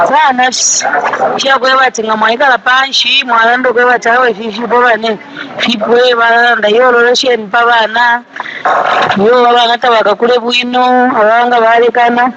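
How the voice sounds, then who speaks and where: Very high-pitched Zambian man, noisy recording. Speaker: member of the public giving views. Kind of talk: spontaneous vox pop. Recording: dull audio with loud background noise.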